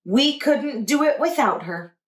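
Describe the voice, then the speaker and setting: A woman voicing a kindly, patient storybook grandfather with an easygoing, gently teasing manner. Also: sits high, lively intonation, measured pace, voice pushed up. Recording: roomy echo.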